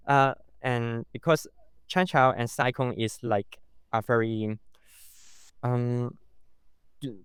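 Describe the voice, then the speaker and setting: A man's voice: mid-range voice, clear, resonant tone, dry recording, clean studio sound. Hesitant man with a Hong Kong accent. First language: Cantonese. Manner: hesitant, many fillers and restarts. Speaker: podcast guest. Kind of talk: casual interview talk.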